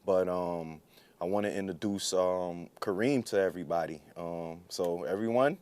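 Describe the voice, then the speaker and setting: Low-pitched man hosting a casual interview. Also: normal speed, darkish tone, clear, resonant tone. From born in Guyana, came to the US at seven. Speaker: interviewer, co-host.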